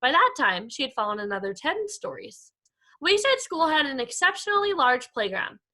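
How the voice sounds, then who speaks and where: A woman teacher reading aloud to young pupils in an expressive, animated storytelling style. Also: high-pitched, moderate pace. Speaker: elementary school teacher. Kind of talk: animated children's read-aloud.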